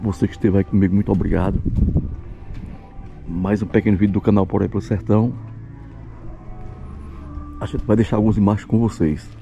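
Guy vlogging out on a village street, low gravelly voice, exclaims a lot. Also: fairly even intonation, fairly quick, pauses often, highs cut off, music in the background. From Pernambuco, Brazil. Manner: exclaiming, talks to viewers. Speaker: travel vlogger.

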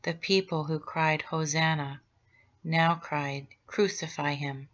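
A woman's voice: low-pitched, fairly even intonation, a bit bright, muffled recording. A woman voicing a grieving holy mother. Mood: solemn. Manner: tender, slow and solemn. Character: gentle grieving mother of Jesus, middle-aged woman. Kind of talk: devotional meditation read aloud.

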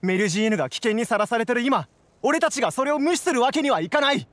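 A man's voice: very high voice, expressive, quick pace, fairly bright tone, slight background noise. An actor playing an earnest, hot-blooded young townsman in a Japanese video game, fervent and resolute.

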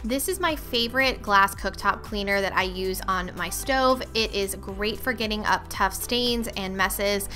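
A woman's voice: medium pitch, a little slow, hardly any pauses, over loud music. A young woman narrating a cleaning vlog in a chatty, upbeat voice-over, recommending products. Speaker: cleaning vlogger.

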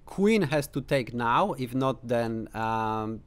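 A man's voice: mid-range voice, animated, smooth. A man in his thirties with a Polish accent, a native Polish speaker, casually commentating a chess game to camera from a room at home. He talks unhurriedly with lots of "uh" fillers and playful asides. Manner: unhurried, thinking aloud with many uh fillers, playful teasing asides. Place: home room with bookshelves, indoors.

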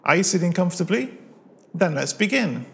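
A man's voice: high-pitched, animated, fairly quick, creaky. A man in his forties from Manchester with a Mancunian accent, chatting to camera about his piano hobby as host of his channel, recorded on a lapel mic in a living room. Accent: Mancunian English. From Manchester, England. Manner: chatty, self-deprecating, friendly storytelling. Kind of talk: casual talk to camera.

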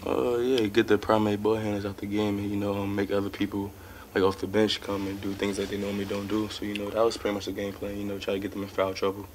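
A young man, a college player, answers postgame questions in a low, flat voice that moves along quickly. He is laid-back, leaning on fillers as he speaks into a table mic, with faint room ambience behind. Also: a little hiss or hum. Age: young adult. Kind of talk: postgame press conference answers. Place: press room in front of sponsor backdrop. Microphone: table mic in front of him.

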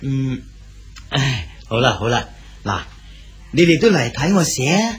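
An older poet-official in a Cantonese radio play. He is genial and scholarly, taking his time over each phrase in a medium-pitched voice. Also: sing-song, slightly rough, full character voice. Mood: amused, kindly. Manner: unhurried, jovial, scholarly. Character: genial older father, celebrated poet-official in old China. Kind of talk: Cantonese radio drama acting.

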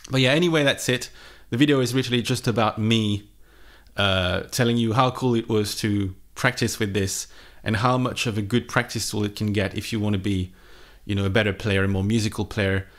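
A man in his thirties with a fairly low voice talks off the cuff to camera. He has the casual delivery of a guitarist running a music vlog, recorded on a close microphone in a small home studio. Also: fairly even intonation, brisk, fairly bright tone. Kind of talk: casual unscripted chat to camera. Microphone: microphone on a boom arm, close.

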